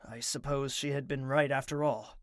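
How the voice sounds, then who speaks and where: A man's voice: medium pitch, steady tone, moderate pace, no room sound, clean studio sound, natural voice. A man playing a grieving, bitter young prince in an audio drama, brooding and intense. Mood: grim narration. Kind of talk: audio drama acting with narration. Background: music.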